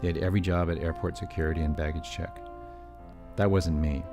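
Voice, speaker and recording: A middle-aged man from California tells his family's story as documentary voice-over. His voice sits extremely low, and he delivers the script with dry, self-mocking humor. Soft music plays faintly underneath. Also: fairly even intonation, a little fast, creaky. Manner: wry, self-deprecating asides. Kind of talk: scripted personal documentary narration.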